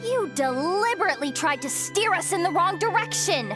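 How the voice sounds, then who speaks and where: High-pitched, outspoken young adventurer. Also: female voice, normal speed, over music. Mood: accusing. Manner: expressive, earnest, outspoken. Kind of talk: animated video game voice acting.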